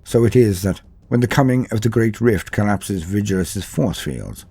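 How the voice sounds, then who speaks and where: Deep, husky man narrating. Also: moderate pace, soft background music. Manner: steady dramatic storytelling. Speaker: lore narrator. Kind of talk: scripted narration.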